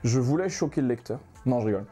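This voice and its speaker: Young man, from Ghana, mellow low-bright tone, casual podcast guest, hesitant and searching for words. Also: quick pace, breathy edge, soft background music. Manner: relaxed, many hesitations and restarts. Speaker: manga author guest.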